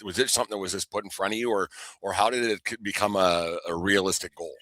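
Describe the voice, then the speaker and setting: A man with a Canadian accent hosting a casual sports interview, asking roundabout questions with halting, repeated words. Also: sits low, steady tone, sharp tone, dry recording.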